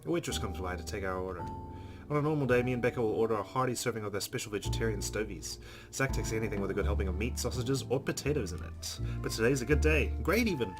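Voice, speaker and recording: A man's voice: on the low side, lively intonation, fast talker, music in the background. A man reading visual novel narration aloud as a let's play streamer, with casual asides.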